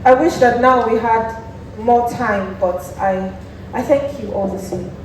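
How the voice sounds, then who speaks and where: A woman's voice: on the high side, a little slow, heavy reverb, lightly acted. Stage drama: young actress as courteous, long-suffering woman, distant mic with faint noise. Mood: hesitant. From Nigeria. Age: young adult. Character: gentle, long-suffering mother. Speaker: student stage actor. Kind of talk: theatrical stage acting. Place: theatre stage.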